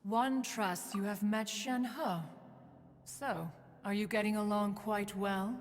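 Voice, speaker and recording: Video game immortal, acted by a woman: imperious and formal, unhurried, long reverb around the voice. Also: medium pitch, fairly even intonation, slight background noise, close to an everyday voice. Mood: haughty, composed. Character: stately, haughty immortal female adeptus. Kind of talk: video game character acting.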